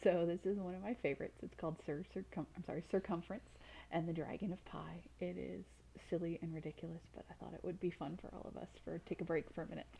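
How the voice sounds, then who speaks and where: A woman's voice: lowish voice, steady tone, fast, gravelly, dark tone, a little hiss or hum, poor audio. A woman reading a children's storybook aloud as a storytime reader.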